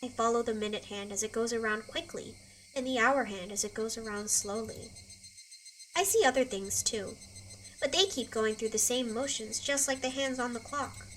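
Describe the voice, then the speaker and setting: Unhinged guilt-ridden teen girl, played by a woman, high and piercing, set back from the mic over music. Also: moderate pace, fairly bright tone, recorded from a distance, slight background noise, close to an everyday voice, rough recording. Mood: hollow. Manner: obsessive, repeating apologies, swinging from whisper to scream. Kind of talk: dubbed anime drama monologue.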